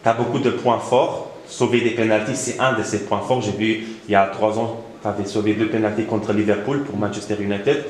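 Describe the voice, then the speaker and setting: Lowish-voiced male interviewer in an echoey room. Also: steady tone, a little fast, hardly any pauses, a little mellow. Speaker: sports journalist interviewer. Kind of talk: informal interview questioning. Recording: echoey room, faint background noise.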